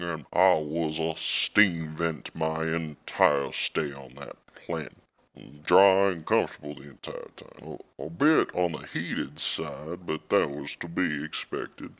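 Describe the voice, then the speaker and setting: Man playing a slow, low-voiced wizard adventurer. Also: fairly bright tone, heavily acted. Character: male planeswalker, weather-magic expert and adventurer-author. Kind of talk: parody public radio interview guest.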